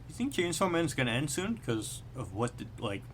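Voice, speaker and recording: A man's voice: mid-range voice, very animated, normal speed, slight background noise. A man co-hosting a podcast, chatting casually in a laid-back way with swearing. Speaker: podcast co-host. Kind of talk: casual chat about manga.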